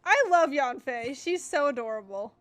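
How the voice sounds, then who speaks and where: A chatty woman streamer with a high voice. She comments casually on a game as she plays, reacting and thinking out loud. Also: expressive, moderate pace, soft background music. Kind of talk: casual live playthrough commentary.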